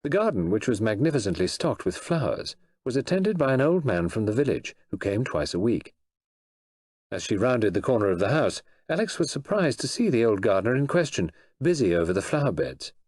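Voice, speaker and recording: A man reading fiction aloud as an expressive audiobook narrator. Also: mid-range voice, brisk, no echo. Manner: expressive storytelling, voices the characters.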